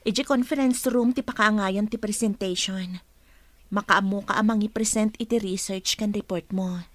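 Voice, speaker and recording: A woman's voice: mid-range voice, fairly even intonation, moderate pace, dry recording, close to an everyday voice. A woman with an Ilocano accent playing a stern, businesslike office supervisor in a radio drama.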